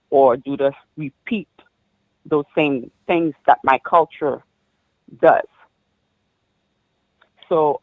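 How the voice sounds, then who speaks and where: A woman of about forty, born in Haiti, calling in to a show and recounting her life in a halting way, full of ums and restarts. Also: low voice, dry recording. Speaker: caller. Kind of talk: personal story on a call-in show. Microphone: phone. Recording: dull dry phone line.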